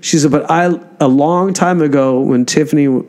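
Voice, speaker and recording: A man's voice: on the high side, moderate pace, a little mellow. A man, a comedian and podcast host, telling anecdotes casually and repeating phrases for emphasis. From Egypt until age eight, then America. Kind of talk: casual conversational storytelling.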